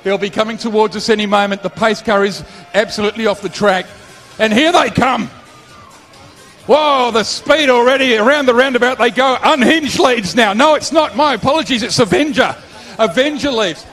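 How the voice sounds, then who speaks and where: High excitable race commentator. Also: male voice, normal speed, soft background music. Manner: excitable, rising to shouts at the start. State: hoarse, losing his voice.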